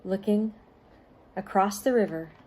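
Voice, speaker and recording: A woman reading aloud and talking directly to children as a storytime volunteer, in deliberate, carefully phrased speech with short pauses. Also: mid-range voice, dark tone, a little hiss or hum, poor audio.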